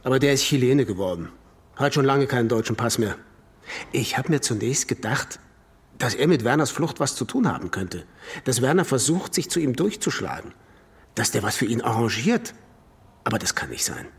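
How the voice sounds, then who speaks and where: An actor playing a defensive, indignant imprisoned swindler in a radio drama, speaking emphatically in clipped phrases. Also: male voice, medium pitch, sing-song, normal speed, husky, exaggerated voice. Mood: reflective. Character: imprisoned swindler, defensive, indignant man blaming his runaway partner. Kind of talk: German radio drama acting.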